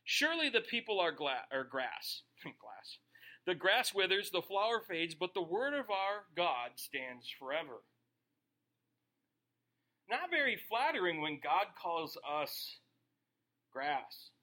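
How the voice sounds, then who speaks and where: A man's voice: high voice, big pitch swings, slow, pushed and bright. A man preaching a sermon in a casual, joking way, often asking the audience questions. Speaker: pastor.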